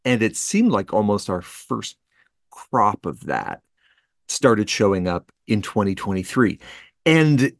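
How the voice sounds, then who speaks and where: A man, co-hosting a book podcast, speaking casually and getting animated when excited. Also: takes it slowly, dry recording, studio quality. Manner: animated, pitch jumps up when enthused. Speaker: podcast co-host.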